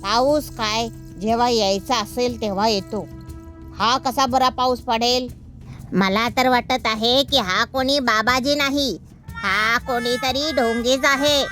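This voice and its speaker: Wary, sensible donkey played by a woman for a children's fable; deliberate, doubting, fairly high voice over soft music. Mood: suspicious. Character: suspicious, level-headed donkey in a jungle. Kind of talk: children's animal fable dialogue.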